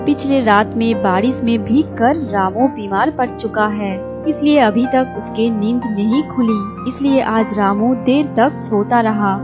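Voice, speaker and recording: A woman giving scripted narration for a children's animated story. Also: fairly high pitch, unhurried, few pauses, highs cut off. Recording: music behind the narration.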